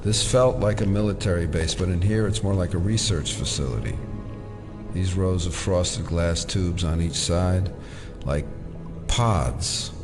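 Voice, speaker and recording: Captive federal agent, American accent, wry, defiant, sardonic asides, audio drama, prominent music behind. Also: male voice, low-pitched, a little slow. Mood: uneasy, incredulous.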